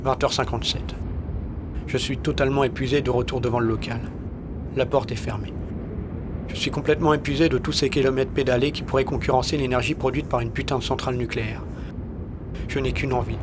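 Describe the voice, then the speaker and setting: A man's voice: mid-range voice, fairly even intonation, a little fast, noisy background. A man narrating a first-person audio short story in terse, weary, wry and colloquial style.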